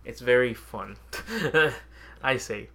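A man's voice: mid-range voice, drawn-out words, a bit bright. A man with a mid-range voice talks casually as a podcast co-host. He chats informally about games and often breaks into a chuckle between phrases. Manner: chuckles often while talking.

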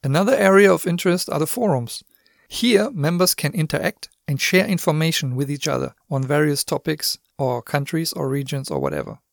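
A German-accented man hosts a business podcast, his voice a little higher than most men's and gravelly with fry. He explains things in a friendly way and turns to the listener now and then, and the dry recording puts him close to the mic. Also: sing-song, brisk, dry recording. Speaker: podcast host. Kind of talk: scripted podcast monologue.

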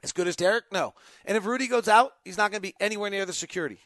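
A male sports podcast host with a high-pitched voice, recorded dry and close. He talks off the cuff, working through his thoughts aloud in broken, emphatic phrases. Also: very fast, a bit bright, no room sound. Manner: thinking aloud, emphatic, choppy fragmented phrasing. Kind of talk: unscripted basketball talk, answering fan questions.

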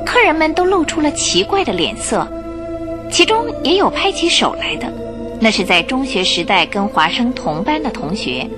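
A woman narrator tells a scripted radio story with lively, wide-moving intonation. Her delivery is measured and expressive, with music clearly playing underneath. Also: on the high side.

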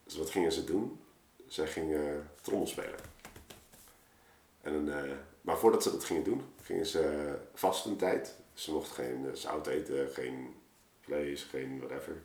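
Deep-voiced man, roomy echo. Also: unhurried, darkish tone. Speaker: guest artist and storyteller. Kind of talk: casual, reflective storytelling in an interview. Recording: roomy, echoing space.

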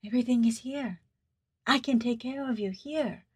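A woman's voice: a little high, moderate pace, darkish tone, slightly rough, no room sound. An actress playing an earnest, dutiful woman who tells her story reflectively and hesitantly in an audio drama monologue. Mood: pleading. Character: adult Japanese daughter recalling her diver mother, earnest, dutiful.

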